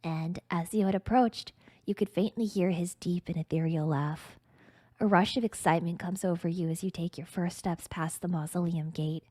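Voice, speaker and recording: A woman's voice: on the low side, steady tone, moderate pace, mellow, no room sound. A woman streamer reading a story aloud in a theatrical, mock-grandiose, dramatic narrating style.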